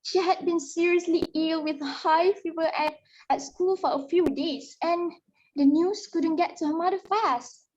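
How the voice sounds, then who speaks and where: A woman as high-voiced storyteller of sad tales. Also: unhurried, darkish tone. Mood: grave, sorrowful. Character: Ida, young woman telling a sad ghost story. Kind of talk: dramatic reading of a story. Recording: muffled low-fidelity audio.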